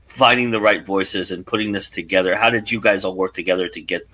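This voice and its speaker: A male host speaks in a conversational interview style. His voice is fairly low in pitch yet bright and forceful in tone, and he works his way tentatively toward each question. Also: brisk, poor audio.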